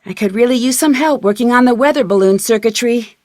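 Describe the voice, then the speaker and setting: A woman playing a curious, confident young amateur detective in a video game, speaking in a clear, inquisitive, polite and self-assured way. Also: fairly high pitch, a little fast, a little mellow, dry recording, studio quality, natural voice.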